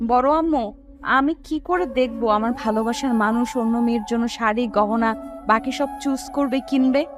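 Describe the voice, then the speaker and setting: A woman plays a lovesick, sulky young woman in an audio story drama. Her very high voice pleads reluctantly over prominent background music. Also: normal speed, close to an everyday voice. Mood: hurt, sulky.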